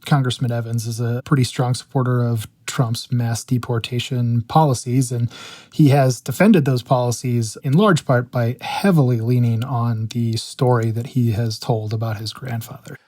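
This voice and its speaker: A man speaking as a reporter, explaining findings in a measured, factual way in an interview. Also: mid-range voice, steady tone, darkish tone, no room sound, studio quality.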